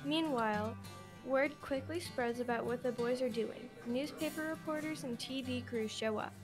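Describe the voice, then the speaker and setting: A woman with a somewhat high voice narrates a radio drama. She reads from a script, and music is clearly audible behind her. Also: moderate pace.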